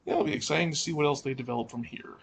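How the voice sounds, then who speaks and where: A man's voice: fairly high pitch, rapid-fire, creaky. A man co-hosting a podcast in casual discussion, adding thoughts and posing questions. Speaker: podcast co-host. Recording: faint background noise.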